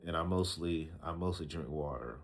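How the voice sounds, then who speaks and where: A man in his early thirties with a very deep voice talks straight to a camera. He speaks slowly and reflectively, with many fillers and restarts. A faint hiss of noise sits under the recording. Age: 30s. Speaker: vlogger. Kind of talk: casual talking-to-camera advice.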